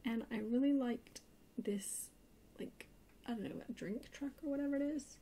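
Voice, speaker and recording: A woman chatting softly in an ASMR haul video, hushed and often slipping into a whisper. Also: fairly high pitch, normal speed. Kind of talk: soft-spoken ASMR haul chat. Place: indoors at a table. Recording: noisy close home recording.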